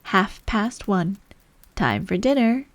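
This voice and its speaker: A woman's voice: mid-range voice, sing-song, slow, dry recording. A woman voicing an eccentric male hat-maker, haughty and mournful, in a storybook reading. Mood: expounding.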